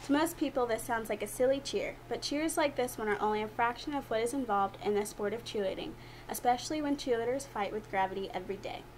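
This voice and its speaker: Young woman, student speaker, slightly high pitch, rehearsed informative speech, emphatic recited delivery, faint background noise. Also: quick pace, rough recording.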